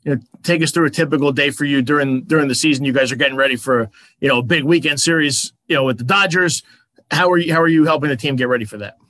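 A man's voice: a little high, quick pace, fairly bright tone, no room sound. A man interviewing a baseball coach, asking conversational, leading questions. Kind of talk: sports interview.

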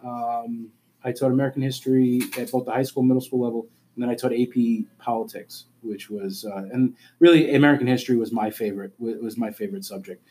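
A middle-aged man with a soft, husky, warm-toned voice answers questions in a relaxed interview. He is earnest and keeps stopping to restart his sentences mid-thought. His pitch sits in a typical male range. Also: fast. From born in Alabama, raised in Germany. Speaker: high school principal, interview guest. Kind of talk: casual conversational interview.

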